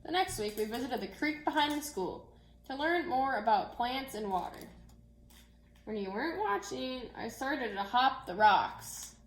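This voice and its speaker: A woman reads a children's picture book aloud in an echoey room. Her voice sits a little high, and she tells it expressively, like a teacher addressing a class of young children. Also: a little slow. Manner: animated storytelling with asides to young listeners. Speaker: teacher reading aloud to second graders.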